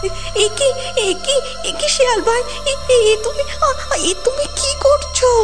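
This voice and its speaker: A woman voicing a naive, trusting mother hen in a cartoon fable, with a gentle, protesting manner. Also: extremely high pitch, unhurried, loud music behind the voice, pitched above the performer's own voice. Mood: alarmed. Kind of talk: animal fable storytelling.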